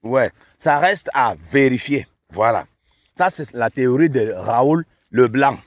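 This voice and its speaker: A man's voice: mid-range voice, expressive, takes it slowly, no echo. A man commenting casually for his audience, laughing often and asking them questions. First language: not French. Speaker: online news commentator. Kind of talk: casual commentary on a played clip.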